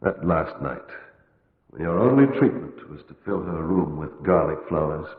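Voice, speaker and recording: An actor playing an anguished, skeptical asylum doctor in a radio horror play, expressive and agitated, in clipped phrases. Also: male voice, a bass voice, normal speed. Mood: weary, bitter. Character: anguished asylum doctor, skeptical, emotional, grieving former suitor. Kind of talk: old-time radio horror acting. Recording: tinny vintage radio with long echoing reverb.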